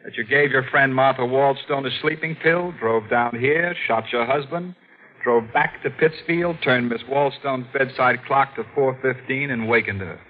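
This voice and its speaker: An actor playing a stern, probing district attorney in a 1940s radio mystery, measured and grave. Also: male voice, medium pitch, close to an everyday voice. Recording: dull old radio broadcast.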